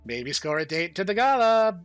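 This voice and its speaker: A perky young female student who loves to scheme and tease, as a man voices her while reading a game aloud. She sounds high and bright. Faint music plays underneath. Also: sing-song, moderate pace, no room sound. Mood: cheerful.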